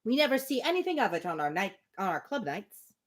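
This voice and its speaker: A woman reads as a breezy husband from a classic storybook. He is unbothered and briskly reassuring, with animated pitch. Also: lightly acted. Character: breezy, dismissive husband from a period novel.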